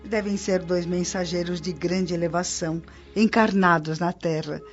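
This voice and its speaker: A woman's voice: lowish voice, steady tone, moderate pace, natural voice. Actress as knowing elderly female nurse, Brazilian accent, kindly and reassuring, radio drama, dull audio, background music. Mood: reverent, explaining. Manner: reassuring, explanatory. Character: kindly, knowing old nurse in the spirit world. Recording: muffled audio with music behind.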